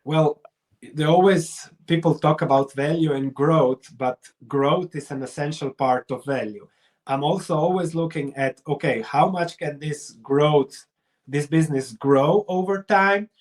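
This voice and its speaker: A middle-aged man from Croatia speaks with a Croatian accent in a somewhat high voice. He sounds like an interview guest, laying out his reasoning step by step with examples. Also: measured pace, a little mellow. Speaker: investor and researcher, interview guest. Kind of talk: explanatory interview talk.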